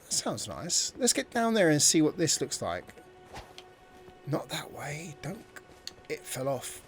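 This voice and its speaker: A man's voice: a little high, big pitch swings, takes it slowly, soft background music. A man hosting a gameplay video, thinking aloud in informal, casual commentary.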